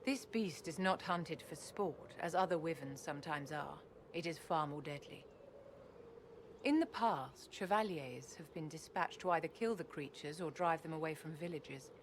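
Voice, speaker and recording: A woman voices a refined noblewoman with a very low, composed voice and courtly, formal speech. A clear hiss sits beneath the game recording. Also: moderate pace, natural voice. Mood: gracious. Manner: gracious, formal, calls people "my dear". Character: refined noblewoman seeking a wyvern's heart. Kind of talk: video game voice acting.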